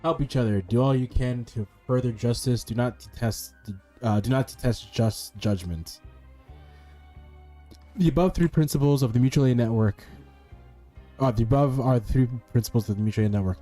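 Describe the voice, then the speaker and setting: Young guy gamer commentary, mellow voice, jokey slangy bro talk, soft music in back. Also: mid-range voice, moderate pace. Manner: joking, slangy, reads game text aloud. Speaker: game streamer. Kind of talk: casual gaming commentary.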